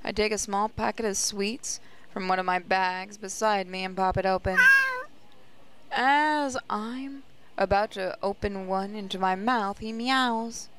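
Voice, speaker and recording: A woman's voice: medium pitch, unhurried. A woman reading visual novel narration aloud in a reflective, storytelling way. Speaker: gaming channel host, reader.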